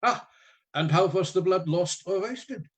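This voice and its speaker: An actor playing a learned, mysterious professor in a radio play, speaking formally and deliberately with rhetorical questions. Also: male voice, high-pitched, animated. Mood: probing. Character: grave male professor, learned, mysterious, solemnly persuasive.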